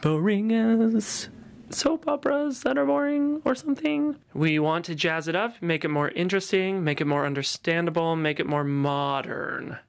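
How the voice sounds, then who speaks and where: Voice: medium pitch, normal speed, gravelly, a little hiss or hum. A podcast host in casual conversation with co-hosts.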